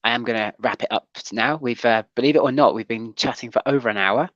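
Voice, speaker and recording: A man's voice: medium pitch, quick pace, fairly bright tone, no room sound, highs cut off. A man hosting a casual livestream chat show, talking with many ums and restarts. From Wales. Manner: hesitant, full of ums and restarts.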